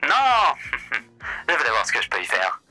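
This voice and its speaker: A man's voice: mid-range voice, wildly swooping pitch, moderate pace, sharp tone, faint music behind, poor audio. A man voicing a polished, theatrical hotel host in an online roleplay, with formal, old-fashioned phrasing. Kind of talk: in-character roleplay chat.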